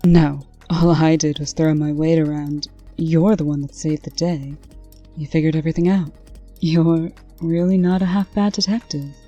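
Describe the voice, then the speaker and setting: A woman playing a stern, brisk official in a comic dub, speaking with dry, scolding authority. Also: low-pitched, fairly even intonation, mellow, dull audio, soft background music. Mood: weary, wry. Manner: crisp, authoritative, dryly scolding. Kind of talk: scripted comic-dub acting.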